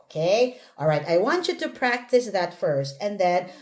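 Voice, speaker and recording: A woman's voice: mid-range voice, very animated, darkish tone. A woman coaching a learner one-on-one in English pronunciation, giving instructions and modelling words to be copied. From Philippines. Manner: instructing, repeating words slowly for the learner to copy.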